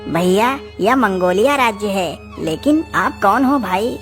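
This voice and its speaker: A woman voicing an excitable, respectful male townsman in an eager manner. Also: mid-range voice, unhurried, close to an everyday voice. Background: clear background music.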